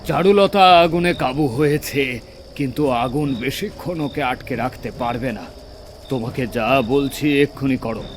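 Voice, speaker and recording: A man's voice: mid-range voice, normal speed, a little hiss or hum, natural voice. A man voicing a stern village tantric who gives firm commands and intones mantras. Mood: urgent.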